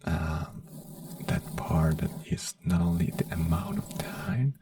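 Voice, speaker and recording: A man doing a soothing ASMR doctor roleplay in a slow whisper, with frequent fillers and phrasing. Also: deep voice, huge pitch swings, warm tone, no room sound, studio quality, far from the mic.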